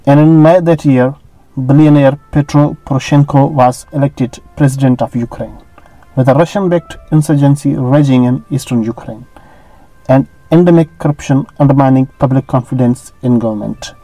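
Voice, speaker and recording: A man narrating a scripted biography in a steady, even reading. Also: mid-range voice, moderate pace, dry recording, faint music behind, low-quality recording.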